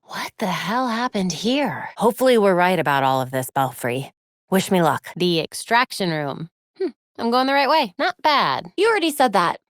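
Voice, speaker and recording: A woman voicing a young crime-fighting superheroine in a video game, with an American accent and a wry, crisp, determined delivery. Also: medium pitch, lively intonation, normal speed, no echo, studio quality, lightly acted. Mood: focused, wry. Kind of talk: scripted video game voice lines. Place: recording studio.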